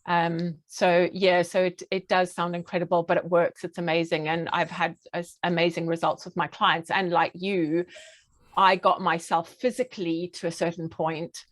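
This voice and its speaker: A woman's voice: fairly low pitch, flat delivery, moderate pace, no echo. A woman from Zimbabwe with a southern African accent, speaking conversationally as an interview guest and health coach.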